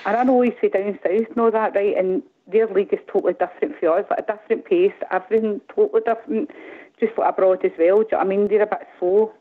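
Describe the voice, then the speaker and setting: A middle-aged woman with a Glaswegian accent calling in, rambling and animated, often asking "do you know what I mean". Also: mid-range voice, fast. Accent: Glaswegian Scottish. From Glasgow, Scotland. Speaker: caller. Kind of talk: casual phone-in chat. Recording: tinny phone line.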